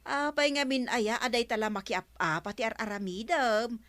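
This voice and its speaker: A woman's voice: a little high, normal speed, a bit bright, no room sound, lightly acted. A woman acting a feisty, talkative village councilwoman with an Ilocano accent, exclaiming and bickering in a broadcast comedy drama. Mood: chatty.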